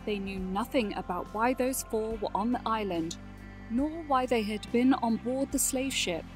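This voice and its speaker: A woman's voice: fairly high pitch, normal speed, faint music behind, close to an everyday voice. A woman acting as storybook narrator of a scripted fantasy audio drama. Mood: narrating.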